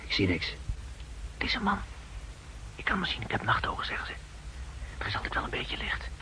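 An actor playing a cocky, wary paratrooper scout in a 1960s radio drama, terse and confident. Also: male voice, mid-range voice, wildly swooping pitch, brisk, bright, forceful tone, whispered, full character voice. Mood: hushed, wary. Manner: terse, confident soldier talk. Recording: muffled old 1960s radio recording.

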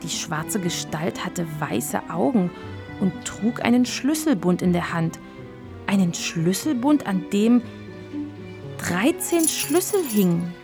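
A woman narrating a scripted children's story in a measured, clearly articulated storytelling manner. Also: mid-range voice, animated, music in the background.

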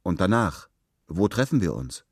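A man voicing a lively, bold young sidekick, brisk and insistent, in an audiobook story. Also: sits low, slightly rough, no echo, studio quality, heavily acted.

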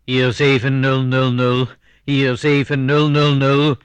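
An actor playing a grumbling secret agent reporting by radio in a radio drama. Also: male voice, medium pitch, completely flat delivery, takes it slowly, smooth, close to an everyday voice. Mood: officious. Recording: noisy two-way radio call.

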